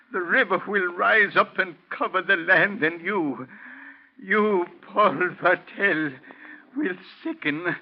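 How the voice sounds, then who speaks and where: Sick old aristocrat, high creaky voice, formal, proud, bitter, vintage radio drama, lo-fi sound. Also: male voice, exaggerated voice. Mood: bitter, cursing. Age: older. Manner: formal, courtly. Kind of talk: 1940s radio acting. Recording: dull, crackly old radio recording.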